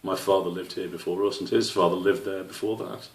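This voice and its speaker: A man voicing an old father squirrel in a children's story, stern but patient, speaking in a measured, gently questioning way. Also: sits low, fairly even intonation, lightly acted. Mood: wise, instructive. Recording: roomy, slightly distant microphone.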